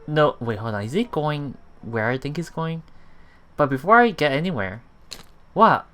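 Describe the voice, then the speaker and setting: A man reading a visual novel aloud for a let's play, halting and repeating words, breaking off for casual commentary. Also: a little high, expressive, sharp tone.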